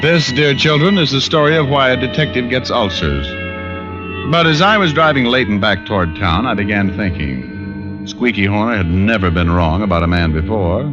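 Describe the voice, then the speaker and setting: Man playing a deep, wisecracking private eye. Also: expressive, moderate pace, lightly acted. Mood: wry narration. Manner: wry, breezy, sarcastic. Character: wisecracking, tough, sardonic male private detective. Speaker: lead detective and narrator. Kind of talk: 1940s hardboiled radio acting. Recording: dull old radio recording with music.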